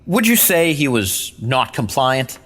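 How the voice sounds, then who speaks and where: A man's voice: medium pitch, very animated, unhurried, a bit bright, long reverb tail. A man playing a formal, probing courtroom lawyer questioning a witness in an audio drama.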